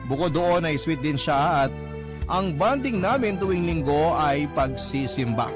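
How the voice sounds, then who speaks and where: A man reads a heartfelt letter aloud in a lilting, sing-song radio manner, his voice pitched a little high. Music plays clearly beneath him throughout. The delivery is expressive and theatrical. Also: a little slow. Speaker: narrator reading a listener's letter. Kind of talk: dramatic radio letter reading. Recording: narration over clear music bed.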